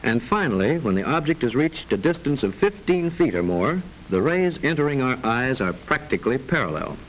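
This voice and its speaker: Lively vintage educational film narrator, a man. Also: mid-range voice, rough recording. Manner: formal, measured, didactic, precise enunciation. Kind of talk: scripted mid-century educational film narration. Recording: hissy vintage mid-century film soundtrack.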